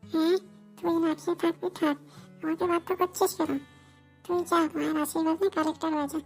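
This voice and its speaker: A woman voicing a chatty, cheeky schoolgirl with a sassy, animated manner in a comedy cartoon. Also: very high-pitched, fast, faint music behind. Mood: indignant.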